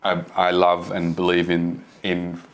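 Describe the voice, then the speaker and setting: A man from India speaks on a discussion panel with a very deep, low voice. He sounds earnest and thoughtful. He keeps an even, conversational pace. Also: measured pace.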